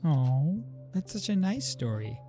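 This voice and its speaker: A man's voice: a little high, very animated, unhurried, music in the background. Chatty male streamer, casual reactions. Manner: informal, slangy, chatty. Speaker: game streamer. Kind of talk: casual live reaction commentary.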